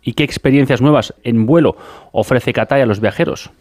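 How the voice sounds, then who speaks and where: A man's voice: mid-range voice, brisk, darkish tone, no echo, studio quality. A man co-hosting a radio interview in prepared broadcast style. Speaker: radio co-host.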